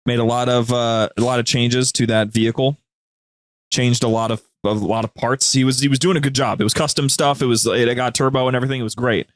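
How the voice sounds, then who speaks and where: A man's voice: medium pitch, fairly even intonation, a little fast, no room sound. A man chatting casually and jokily as a podcast guest. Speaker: podcast guest, media group co-host. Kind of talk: casual jokey chat.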